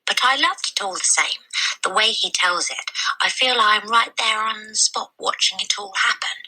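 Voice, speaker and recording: A woman's voice: mid-range voice, moderate pace, bright, forceful tone, slightly rough, voice pushed up, poor audio. A woman voicing a tiny bookish young girl, earnest and simple, in a children's storybook reading. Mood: earnest, delighted. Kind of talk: children's storybook read-aloud.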